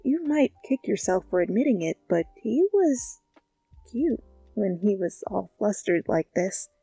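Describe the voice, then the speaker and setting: A woman narrating a second-person fan fiction story in a playful, conversational way, with animated asides. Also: mid-range voice, unhurried, slightly rough, dry recording, faint music behind. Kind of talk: expressive second-person story narration, ASMR fanfic reading.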